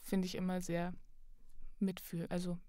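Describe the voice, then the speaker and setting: A young adult woman speaking as a podcast guest in a casual, thoughtful, halting conversational way. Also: fairly low pitch, monotone, dark tone, dry recording, clean studio sound.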